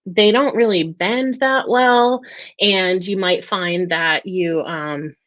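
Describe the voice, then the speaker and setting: An American woman hosts a relaxed live chat, talking with enthusiasm and plenty of ums and restarts. Her voice sits on the lower side for a woman. The sound is dry and a bit muffled, like a lo-fi stream. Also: no room sound. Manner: chatty, enthusiastic, many fillers and restarts. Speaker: host of a weekly live talk and Q&A. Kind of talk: casual livestream chat.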